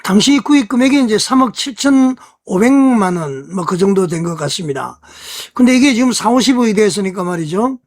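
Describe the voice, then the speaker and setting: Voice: mid-range voice, lively intonation, fairly quick. An online church news commentator speaks in a mid-range voice. The delivery is conversational and explanatory, walking viewers through the details and often turning to them with rhetorical questions.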